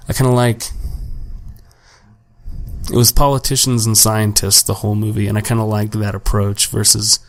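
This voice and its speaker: A young man, slightly raspy from a long day of talking, hosting a solo podcast at home and rambling casually and profanely about his week, with plenty of self-deprecation. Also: fairly low pitch, level tone, moderate pace, pauses often. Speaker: podcast host. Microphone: home desk microphone without pop filter.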